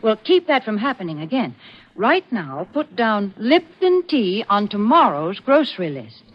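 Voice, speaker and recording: A woman announcer delivering a scripted 1940s radio advertising pitch. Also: big pitch swings, unhurried. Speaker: commercial announcer. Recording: dull vintage 1940s radio recording.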